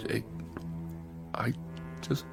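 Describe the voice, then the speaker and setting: An actor playing an anguished, starving rebel in a radio drama, with a halting, impassioned delivery. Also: male voice. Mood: weak remorse. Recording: radio drama with loud music bed.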